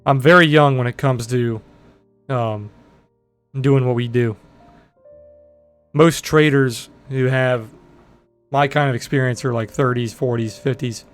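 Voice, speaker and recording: A young man in his twenties with a deep voice, chatting bluntly and profanely with viewers on a trading livestream. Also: steady tone, measured pace, pauses often, faint music behind. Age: 20s. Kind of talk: casual livestream chat answering viewers.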